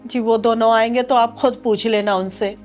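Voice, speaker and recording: A woman's voice: a little high, steady tone, normal speed, darkish tone, soft background music, natural voice. A woman playing a worried, aggrieved elderly wife and landlady in a melodramatic short film. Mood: worried, complaining.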